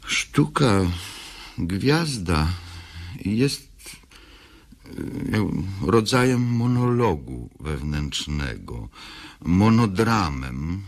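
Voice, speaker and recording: A man with a husky voice giving a reflective introduction to a play as its writer, halting and deliberate, with long pauses. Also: deep voice. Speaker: playwright introducing own play.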